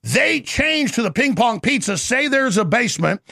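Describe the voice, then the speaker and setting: A man with a high, gravelly voice races through a long, intense rant. His tone is sharp and forceful, and the sound is close and dry, with no room around it. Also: sing-song, no room sound. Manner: intense, rapid, rambling monologue. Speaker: show host. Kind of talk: ranting interview talk.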